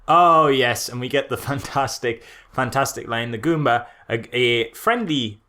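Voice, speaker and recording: A man's voice: mid-range voice, animated, measured pace, sharp tone. A man co-hosting a casual podcast, reviewing a film with a co-host, laughing often and firing incredulous questions.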